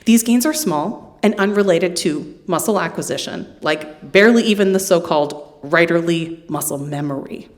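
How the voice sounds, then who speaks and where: A middle-aged woman giving a live literary reading in a hall, with deadpan, wry humour and casual asides to the listeners. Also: fairly low pitch, normal speed, hardly any pauses. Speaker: poet reading from own book.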